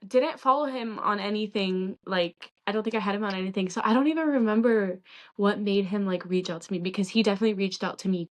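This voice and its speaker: A young woman from California talks as a guest on a casual podcast, her voice sitting at an everyday middle pitch. She tells her story candidly and loosely, with "like" scattered all through her sentences. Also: fast talker. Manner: candid rambling storytelling, many "like" fillers. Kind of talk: casual chat.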